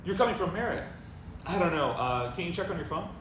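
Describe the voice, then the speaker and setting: A man acts out an insecure boyfriend in a comic play reading. His voice is fairly high and very lively, halting and self-deprecating. The recording is noticeably noisy. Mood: flustered. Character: insecure, anxious, needy boyfriend. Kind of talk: comic stage play table read. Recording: roomy, distant, noisy recording.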